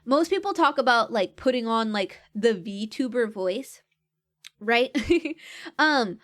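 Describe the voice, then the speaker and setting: A woman streaming, chatting casually and rambling with fillers, letting her pitch swing with her mood. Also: expressive, slow pace.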